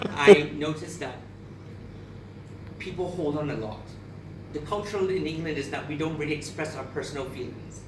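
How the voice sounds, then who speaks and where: Thirtysomething guy from barbados giving a heartfelt talk, kinda high voice, echoey room picked up from far off, noisy. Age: 30s. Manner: candid and emotional, with frequent restarts. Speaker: speaker at a charity event. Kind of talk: personal speech to an audience. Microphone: far camera.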